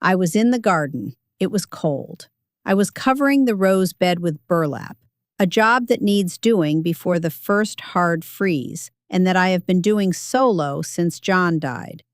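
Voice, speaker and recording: A woman's voice: on the low side, dry recording. A woman narrating a scripted first-person story in a dry, wry manner.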